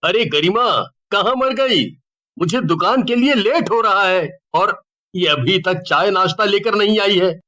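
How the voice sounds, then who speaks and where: An actor playing a selfish, grumbling brother with a whining, complaining manner in a storybook tale. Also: male voice, high-pitched, a little slow, pushed and bright. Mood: irritated.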